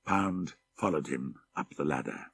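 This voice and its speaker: A man reading a horror story as an audiobook narrator, measured and deliberate, with dramatic emphasis. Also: extremely low pitch, lively intonation, vocal fry, a bit bright, husky.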